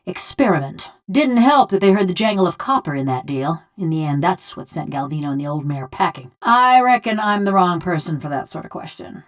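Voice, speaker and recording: Low-voiced, bitter folksy killer construct. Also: female voice. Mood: wry, folksy. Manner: folksy rustic speech, wry and brooding. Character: bitter vengeful female killer trapped in an unfeeling metal construct body. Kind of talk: scripted video game character dialogue.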